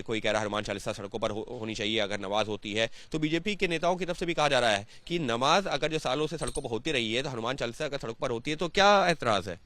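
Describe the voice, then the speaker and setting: A man's voice: medium pitch, fairly bright tone, no echo. A man working as a TV news reporter, asking wordy, emphatic questions and summing up in news-report style. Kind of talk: news interview, piece to camera.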